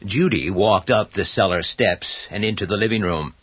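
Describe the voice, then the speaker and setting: A man narrating a scripted horror story in a dramatic, deliberate manner. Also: fairly low pitch, husky, no room sound. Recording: dull audio with faint music.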